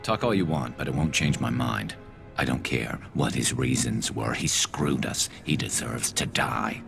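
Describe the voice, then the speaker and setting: A man's voice: rumbling low, unhurried, gravelly, over music, exaggerated voice. A man playing a vengeful, embittered alien soldier in a video game, clipped and grim, with a flanged voice effect. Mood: bitter, defensive. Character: vengeful, embittered male alien soldier, weary and hard-edged. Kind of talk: video game dramatic dialogue.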